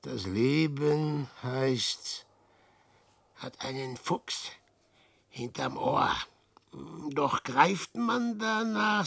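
Old washed-up adventurer muttering verse in an old radio drama, gravelly, super slow, dry close sound, brooding and self-mocking. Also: male voice, on the high side, pushed and bright, dry recording, full character voice. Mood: despairing, bitter. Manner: brooding, theatrical, muttering to himself. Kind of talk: verse monologue in 1960s German radio drama.

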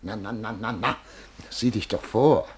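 An actor playing a vain, imperious army major in a German radio play, barking suspicious commands and questions. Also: male voice, fairly low pitch, unhurried, husky. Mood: alarmed, scolding.

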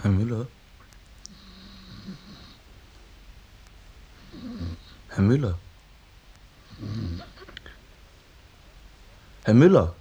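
A man's voice: lowish voice, animated, fast talker, warm tone, highs cut off, slight background noise, natural voice. A male actor playing an uneasy young boy in a radio play. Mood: anxious. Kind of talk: German radio play acting.

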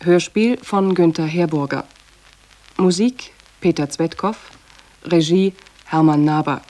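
A woman's voice: lowish voice, takes it slowly, poor audio. A woman formally announcing the title and credits of a radio drama. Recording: loud music behind the voice.

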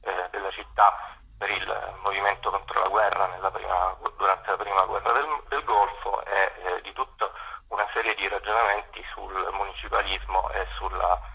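A man's voice: low voice, flat delivery, normal speed, gravelly, breathy edge, phone-quality sound. A man giving unscripted radio interview answers as a guest, often hesitating and searching for words.

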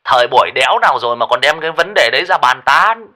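A man's voice: mid-range voice, wildly swooping pitch, normal speed, vocal fry, fairly bright tone, exaggerated voice. A man with a Northern Vietnamese accent voicing a cranky middle-aged male gambler who rants, swears and scolds. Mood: scolding.

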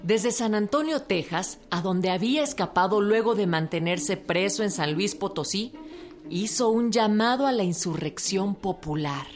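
A woman's voice: medium pitch, expressive, moderate pace. A woman narrating history from a script in documentary style. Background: music.

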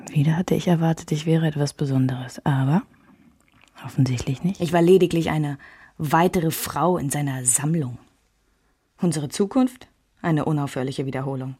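Low, husky, wistful woman remembering love. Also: normal speed, dark tone, no echo, studio quality. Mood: resolved, bitter. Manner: reflective, alternates German with English phrases. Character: woman recalling a love triangle, wistful and candid. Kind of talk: intimate first-person scripted radio narration.

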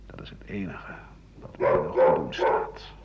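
A man playing a weary, embittered writer of 67 in a radio play, grumbling in halting phrases that trail into breathy murmurs. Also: close to an everyday voice. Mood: resigned. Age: older. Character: embittered, weary 67-year-old French writer and doctor, pessimistic. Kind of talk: radio play monologue as interview answers. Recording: dull, hissy 1980 radio recording.

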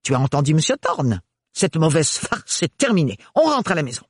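Audiobook figure: male reader as a stern scolding mother, raised pitch, dry sound. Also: sing-song, brisk, breathy edge, dry recording, heavily acted. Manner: scolding, incredulous.

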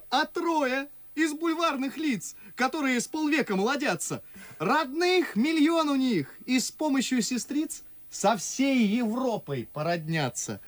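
A man's voice: high voice, big pitch swings, measured pace, dry recording. An actor playing an ardent, witty, mocking young man in love in a verse radio play, with theatrical delivery. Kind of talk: classic verse stage acting for radio. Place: radio studio.

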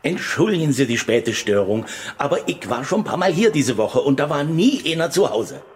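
An actor playing a formal, deferential but persistent rent collector in a radio drama. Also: male voice, on the high side, very animated, moderate pace, noisy background. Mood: apologetic. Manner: deferential, fussy.

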